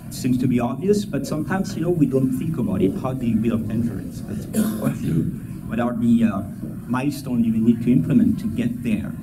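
A man's voice: medium pitch, expressive, brisk, background noise. A middle-aged man with a French accent gives an informal, joking talk on a conference stage, telling personal stories. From France. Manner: conversational, joking. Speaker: conference speaker, entrepreneur and endurance athlete. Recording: music bed over stage talk. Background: clear, loud music.

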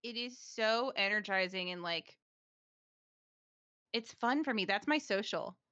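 A woman co-hosting a casual podcast chat, talking fast with lots of fillers and frequent laughs. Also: medium pitch, expressive, bright, forceful tone, clean tone, no room sound, muffled recording. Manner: chatty, laughs often, many fillers. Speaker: podcast co-host, streamer.

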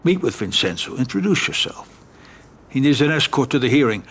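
Husky, careful American mafia adviser. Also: male voice, medium pitch, sing-song, fairly bright tone. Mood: calm. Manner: measured, businesslike. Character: calm, careful middle-aged mafia adviser. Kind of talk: dramatic video game acting.

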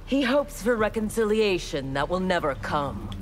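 A woman's voice: fairly low pitch, very animated, normal speed, slight background noise. An actress voicing a cold, haughty armored female ninja cyborg in video game banter. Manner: haughty, menacing.

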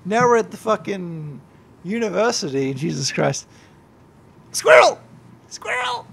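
A drunk young man rambling and swearing through a gameplay livestream, yelling at the game now and then. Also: sits high, wildly swooping pitch, measured pace, vocal fry, slightly rough. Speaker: YouTuber and streamer.

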